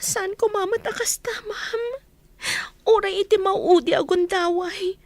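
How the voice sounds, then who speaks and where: A woman's voice: very high-pitched, measured pace, no echo. An actress playing a suffering young mother, plaintive and pleading, in a radio drama. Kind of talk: Filipino radio drama acting.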